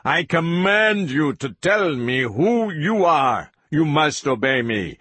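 A man's voice: a little high, big pitch swings, slow pace, a bit bright, husky, no room sound, pitched above the performer's own voice, exaggerated voice. A man voicing a domineering male interrogator who roars commands. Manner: roaring, commanding.

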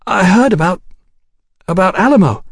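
A male narrator lifts his voice to play a nervous young woman in an audiobook. She sounds husky and high-set, blurting insistent, placating lines, recorded very dry. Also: animated, moderate pace, no echo, heavily acted. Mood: anxious, placating.

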